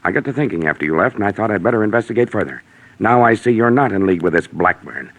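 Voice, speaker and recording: An American actor playing a stern, suspicious middle-aged gentleman with a blustery, accusing temper in 1940s radio mystery style. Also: on the low side, lively intonation, fast talker. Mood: urgent, persuasive.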